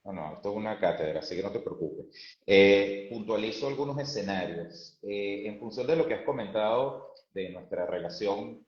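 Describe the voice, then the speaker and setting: A man's voice: lowish voice, animated, normal speed. A man hosting a conversational interview, feeling his way through his phrasing with some hesitation. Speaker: host, interviewer. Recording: roomy, dull live stream audio.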